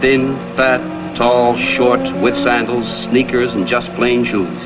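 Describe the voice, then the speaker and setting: A man's voice: medium pitch, fairly even intonation, slow, over loud music, close to an everyday voice. A man playing a purposeful amateur detective in a vintage TV crime drama, matter-of-fact and instructive. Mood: reflective. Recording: dull, noisy vintage TV soundtrack.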